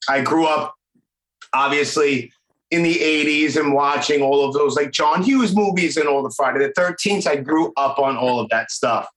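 A man's voice: fairly high pitch, moderate pace. A man in his forties with a Brooklyn accent, hosting a casual podcast interview in a rambling, chatty way. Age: 40s. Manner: chatty, warm-hearted, rambling. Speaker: podcast host. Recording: echoey room.

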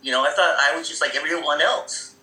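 A man's voice: fairly high pitch, fast, bright, forceful tone, echoey room, low-quality recording. A man casually telling stories about growing up, rambling with many fillers and frequent laughs. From Seattle area. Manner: rambling, many fillers, laughs often, acts out quoted voices. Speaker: guest. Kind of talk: casual conversational storytelling.

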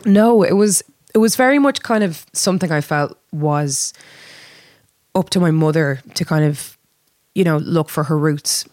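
A woman's voice: deep voice, a little mellow, dry recording, clean studio sound. A woman with a north Dublin accent chatting candidly and reflectively in an interview, often repeating words as she finds her phrasing. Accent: north Dublin Irish. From Dublin, Ireland. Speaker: band singer being interviewed. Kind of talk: casual reflective interview chat.

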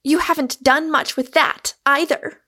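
Lady doing a angry young rich girl from an audiobook, really high, scornful and emphatic. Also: fairly even intonation, drawn-out words, fairly bright tone, exaggerated voice. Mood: scornful fury. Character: indignant, forceful young English heiress in a rage. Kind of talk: acted audiobook dialogue.

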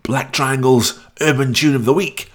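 A man's voice: medium pitch, steady tone, normal speed. A man hosting a new music radio show, presenting links between songs in a radio DJ style.

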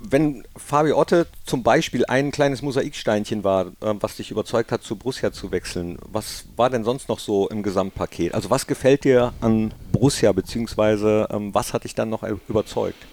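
A man's voice: fairly low pitch, moderate pace, few pauses, a little mellow. A man hosting a podcast, asking a guest casual, curious questions with a few fillers. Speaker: podcast host.

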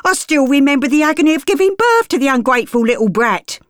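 A woman's voice: high-pitched, expressive, fast talker, no echo, studio quality, pitched above the performer's own voice. A woman voicing a harsh, scheming woman from who whines and threatens. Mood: threatening. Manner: whining, threatening. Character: harsh scheming working-class London woman.

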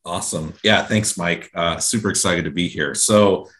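A man's voice: low voice, steady tone, normal speed, fairly bright tone. A man from Central Ohio, a guest in an interview, talking casually with many fillers and restarts. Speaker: guest, engineering director.

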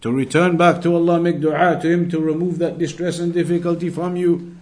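A man's voice: on the high side, level tone, a little fast, darkish tone. A man giving an Islamic lesson as a religious teacher, explaining in an instructive way and restating points for emphasis. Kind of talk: Islamic lesson, reading Arabic text and explaining it.

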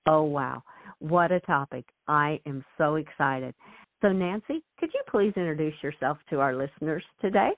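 A woman's voice: sits low, animated, moderate pace, no room sound. A woman hosting a radio talk show, effusive and enthusiastic. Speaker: host. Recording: tinny phone line.

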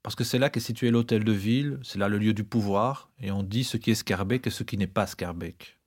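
A man's voice: fairly low pitch, a little fast. A man co-hosting a podcast with scripted, explanatory narration.

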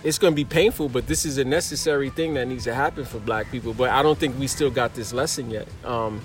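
A man's voice: medium pitch, quick pace, soft background music. A man talking casually and earnestly about his travels, searching for words as he reflects. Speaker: traveler in conversation.

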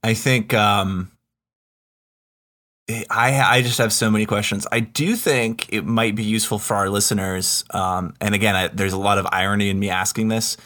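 A man interviews in a conversational podcast style. His voice is fairly low yet bright and forward, and he feels his way carefully through his phrasing, hedging as he goes. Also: fairly even intonation, moderate pace.

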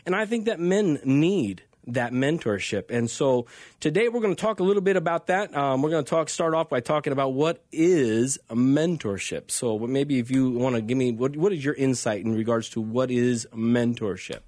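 A man's voice: medium pitch, animated, normal speed, darkish tone, no room sound. American man hosting radio, dry studio sound. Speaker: radio host and pastor. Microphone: close studio microphone.

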